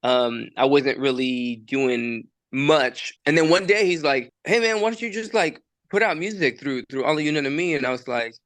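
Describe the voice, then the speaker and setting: A man's voice: medium pitch, normal speed, hardly any pauses, a bit bright, no echo. Laid-back rambling young man. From El Salvador. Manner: laid-back, rambling, many fillers and restarts. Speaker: musician and studio producer. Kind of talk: casual podcast conversation. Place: recording studio.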